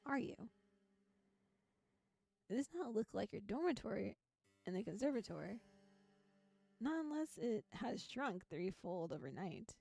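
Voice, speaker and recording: A woman narrates a visual novel for a live stream, her mid-pitched voice moving in animated swings. She slips between storybook reading and casual, giggly chat, with soft music playing behind her. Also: vocal fry. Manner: giggly, chatty, playful asides. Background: background music.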